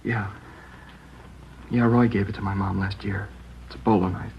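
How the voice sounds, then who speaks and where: An actor playing a shaken teenage boy whose mother has just died, halting and upset, his voice rising at the worst moments in an old radio drama. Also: lowish voice, little pitch movement, creaky, a little hiss or hum. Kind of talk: 1950s radio drama acting. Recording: dull lo-fi old radio broadcast.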